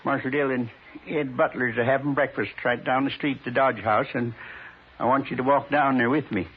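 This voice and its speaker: Old West rancher, male actor: American, hoarse-edged and earnest, stubborn pride, folksy delivery, tinny old radio drama recording. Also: mid-range voice, fairly even intonation, brisk, close to an everyday voice. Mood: determined. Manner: earnest, folksy, hesitant then insistent. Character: earnest, stubbornly proud small-time horse rancher, man.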